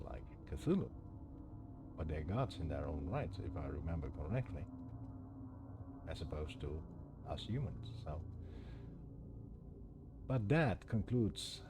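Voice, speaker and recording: A man hosting a game playthrough with casual, musing commentary, often posing questions to the viewer. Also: extremely low pitch. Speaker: game streamer and host. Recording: clear background noise, ambience. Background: ambient noise.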